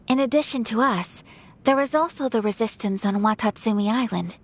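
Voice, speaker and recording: A woman playing a composed young noblewoman in a game, speaking in formal, measured, courtly phrases over faint game music. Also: fairly high pitch, lightly acted. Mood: grave. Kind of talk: game cutscene voice acting.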